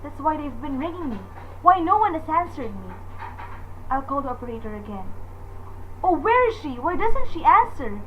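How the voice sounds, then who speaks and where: Woman acting a high-voiced, overwrought, imperious wife. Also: big pitch swings, moderate pace, a bit bright. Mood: fearful, agitated. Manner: indignant, demanding, melodramatic. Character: nervous, imperious married woman spiralling into panic. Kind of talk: suspense radio drama acting. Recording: dull lo-fi recording with faint noise.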